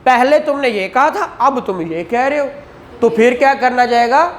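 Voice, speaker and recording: A man giving a classroom law lecture, explaining in a conversational tone, reading legal text slowly, and acting out courtroom questions. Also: very high voice, a little hiss or hum. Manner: explains in Urdu, reads English statute text slowly aloud; acts out courtroom exchanges.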